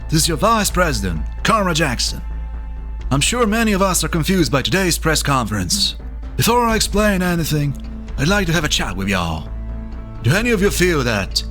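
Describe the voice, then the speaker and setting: A man's voice: a little high, fairly bright tone. A man playing a folksy vice president, delivering a rhetorical, declamatory political speech. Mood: earnest, rousing. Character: folksy, rousing male vice president making a public speech. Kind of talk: political oratory. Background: faint music.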